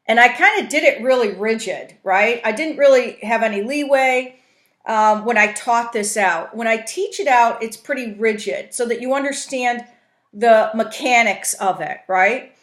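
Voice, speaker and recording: A woman's voice: a little high, normal speed, a bit bright. A woman giving informal instruction on a conference call, explaining step by step and often checking for understanding. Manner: explanatory, often checks in with "right?" and "you know". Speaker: trainer, facilitator teaching a coaching tool. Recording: roomy, echoing conference call audio.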